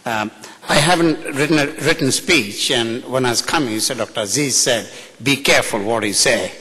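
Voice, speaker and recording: A man's voice: mid-range voice, normal speed, sharp tone. A middle-aged man from India with a mixed Indian, British and American accent, giving an unscripted, joking tribute speech at a podium microphone. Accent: Indian English with British and American influences. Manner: candid, joking, outspoken. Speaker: guest speaker at a ceremony. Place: large hall. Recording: reverberant hall.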